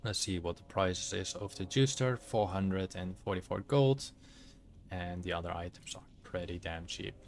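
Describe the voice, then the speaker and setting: A man with a Dutch accent narrates his gameplay at a relaxed pace, often pausing to search for words. His voice is low. A faint hiss sits behind it. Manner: halting, many fillers, searches for words. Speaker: streamer. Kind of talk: casual gameplay commentary.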